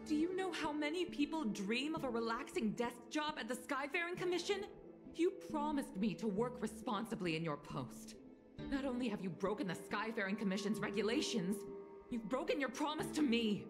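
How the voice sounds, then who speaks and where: A woman's voice: on the high side, very animated, normal speed. A woman voicing a stern, protective mother and formal official in a video game drama. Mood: angry, reproachful. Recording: game audio with music behind. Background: music.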